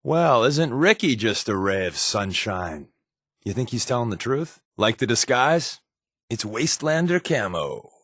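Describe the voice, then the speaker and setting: A man's voice: mid-range voice, sing-song, measured pace, fairly bright tone, husky, dry recording, clean studio sound, full character voice. A man playing a sly, wry undercover resistance agent in a video game, talking in a casual, glib, sardonic way. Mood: joking, sardonic. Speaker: video game companion character. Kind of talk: scripted game dialogue, conversational.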